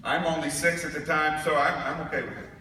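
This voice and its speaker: A man's voice: on the high side, fairly even intonation, quick pace, sharp tone. Man giving a keynote in an echoey hall. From New York. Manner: storytelling with humor. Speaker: keynote speaker. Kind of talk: conference keynote speech. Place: large conference hall. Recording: echoey hall recorded from far off, noisy.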